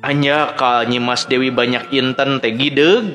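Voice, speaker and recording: A man's voice: medium pitch, steady tone, unhurried, pushed and bright. A man with a Sundanese accent narrating a dramatic story as a storyteller. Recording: background music under the voice. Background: music.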